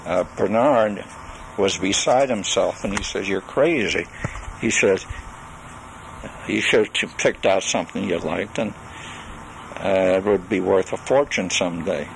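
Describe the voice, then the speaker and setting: An older man recounts his life in a very slow, halting delivery, leaving long pauses between phrases. His voice is gravelly and full of fry. It is a 1980s home cassette recording with faint noise behind him. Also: low voice, rough recording. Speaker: storyteller recalling his life and legal practice. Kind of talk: spoken memoir on tape.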